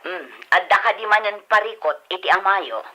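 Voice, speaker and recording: A woman's voice: medium pitch, moderate pace, pushed and bright, rough recording. A woman with an Ilocano accent playing an earnest, loving middle-aged mother in a radio drama. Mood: affectionate.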